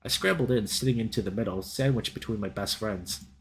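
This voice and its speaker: A man reading a visual novel aloud as a let's play host, in an expressive, dramatic storytelling style with casual reactions. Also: lowish voice, fairly quick, slight background noise. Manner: animated, dramatic reader.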